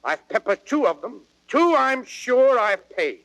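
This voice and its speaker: An actor playing a blustering, boastful fat old rogue knight in old radio Shakespeare style, with declamatory, exaggerated delivery. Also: male voice, extremely high pitch, big pitch swings, measured pace, a bit bright, full character voice, low-quality recording.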